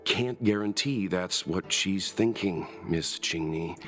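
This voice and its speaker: Deep-voiced courteous gentleman. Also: soft background music. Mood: calm, considered. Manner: measured, formal, tactful. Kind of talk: video game voice acting.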